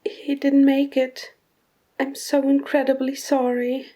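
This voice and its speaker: A woman playing a grieving mother who breaks bad news in a halting, sombre way in a scripted drama. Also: high voice, monotone, dark tone. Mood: grieving, gentle. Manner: slow, halting, sombre delivery.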